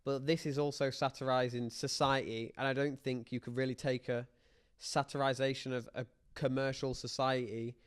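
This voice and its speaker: A man's voice: medium pitch, flat delivery, moderate pace, few pauses, clear, resonant tone. A man hosting a casual film discussion, opinionated and prone to acting out hypothetical reactions. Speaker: podcast host.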